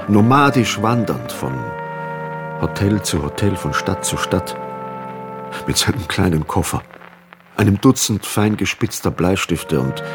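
A reflective, elegiac friend in a literary radio play. The low voice moves expressively over clear background music. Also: male voice. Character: reflective male friend eulogising a nomadic writer.

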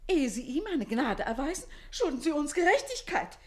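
A jilted household servant in a classic radio play. Her high voice swings up and down with shrill indignation, formal yet insistent. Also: sing-song, normal speed. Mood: indignant.

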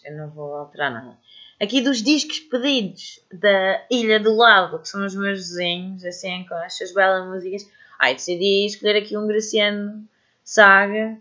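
A woman's voice: mid-range voice, fairly bright tone, muffled recording. A woman with a European Portuguese accent hosting a radio show, chatting casually between songs and thinking aloud with plenty of fillers.

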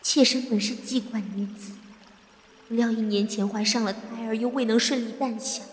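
A woman's voice: on the high side, steady tone, moderate pace, a little hiss or hum. A woman playing a sorrowful ghost of a courtesan in a period audio drama, speaking humbly and formally through a ghostly reverb. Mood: sorrowful, resigned. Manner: humble, formal, archaic speech. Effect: ghostly long reverb. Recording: echoing long reverb, background music.

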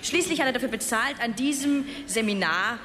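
An actress playing a bold, sharp-witted woman in a radio play, insistent and pointed as she challenges others. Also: a little high, animated, normal speed, faint music behind. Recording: reverberant hall with crowd.

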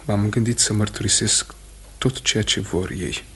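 An actor playing a condemned farmer, a stubborn and guilt-ridden husband, speaking gravely and haltingly in radio theatre style. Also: male voice, fairly low pitch, steady tone, a little hiss or hum, close to an everyday voice. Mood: anguished, subdued. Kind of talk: Romanian radio theatre acting.

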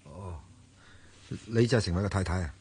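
A man's voice: low-pitched, moderate pace, darkish tone, slightly rough. An actor in a Hong Kong radio drama playing a hesitant, flustered young man with a Hong Kong Cantonese accent. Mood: flustered polite. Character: flustered, earnest young man mistaken for another. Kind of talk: broadcast radio drama acting.

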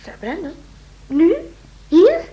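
An actress playing a pert, sceptical, watchful wife of a writer in a radio play. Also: female voice, very high-pitched, big pitch swings, takes it slowly, dark tone, highs cut off, exaggerated voice. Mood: alarmed, bossy. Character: sharp-tongued, watchful wife of a detective writer. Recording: old radio recording, light noise.

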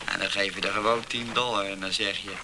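A man's voice: low voice, steady tone, fast talker. An actor playing a worldly, matter-of-fact man giving sly, confiding tips in a comic radio play. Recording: very noisy, clicky.

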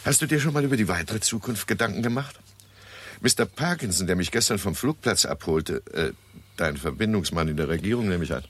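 A curious, skeptical scientist in a 1960s radio play. The voice is deep, and the delivery is measured and wry, with a probing edge. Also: male voice, expressive, slightly rough. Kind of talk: 1960s German radio drama acting.

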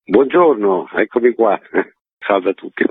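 A man's voice: lowish voice, lively intonation, takes it slowly, slight background noise. A man, an actor being interviewed on radio, explaining in a reflective, wordy way over a phone line. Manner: reflective, wordy, with frequent qualifiers. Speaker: stage actor, interview guest.

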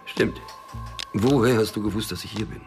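Man acting wary small-time smuggler, gravelly low voice. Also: normal speed, music in the background, lightly acted. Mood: wary, sardonic. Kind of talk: crime radio drama acting.

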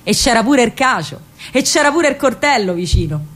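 A woman's voice: fairly high pitch, very animated, fairly quick, fairly bright tone. An actress playing a spirited young working-class Roman woman, a wartime partisan courier, telling her story in an earthy, vivid dramatic monologue with a Roman accent. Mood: hushed, careful. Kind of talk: dramatic first-person monologue in Roman vernacular.

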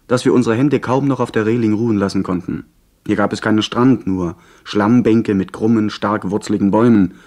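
A man acts a young gentleman adventurer in a sea-story radio play. His voice sits fairly low, and he phrases the tale clearly in a literate storytelling manner. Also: close to an everyday voice. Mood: descriptive, oppressed. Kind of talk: scripted radio play narration and dialogue.

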